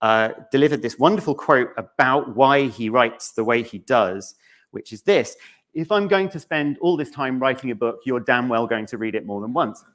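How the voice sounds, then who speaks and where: A man speaks as a presenter at a conference. His voice sits at a medium pitch, and he talks in a conversational way, dropping in wry, offhand asides as he addresses the room. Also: normal speed, fairly bright tone. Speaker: conference speaker, game studio narrative director. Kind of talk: public conference talk, conversational. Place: conference room in front of an audience.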